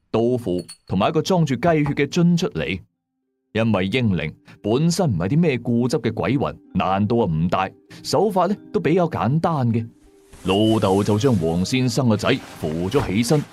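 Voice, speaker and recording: 一個香港粵語口音嘅男人，用第一身、口語化又戲劇性嘅方式講廣播鬼古。. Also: male voice, mid-range voice, darkish tone. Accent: Hong Kong Cantonese. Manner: dramatic, colloquial. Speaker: radio drama narrator. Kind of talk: first-person ghost story narration.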